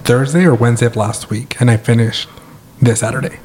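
Young adult man, podcast co-host. Raspy, laid-back, dry jokes. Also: medium pitch, steady tone, fairly quick, darkish tone. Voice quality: raspy today. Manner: laid-back, short joking replies. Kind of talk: casual chat.

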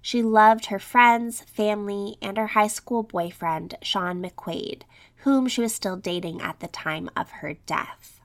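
A woman's voice: medium pitch, takes it slowly. Slightly scratchy woman narrating true crime. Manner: reads script with quotes and statistics. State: recovering from illness, scratchy voice. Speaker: true crime podcast host.

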